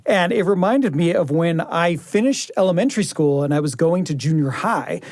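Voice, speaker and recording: A woman's voice: fairly low pitch, lively intonation, moderate pace, darkish tone, no echo, studio quality. A woman co-hosting a podcast, moving between conversational chat and scripted narration. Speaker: podcast host.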